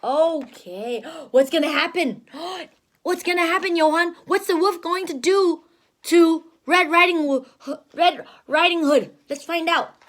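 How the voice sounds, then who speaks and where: A woman teacher reading a storybook aloud to a young child, expressive and exaggerated, with simple asides. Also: very high-pitched, a little slow.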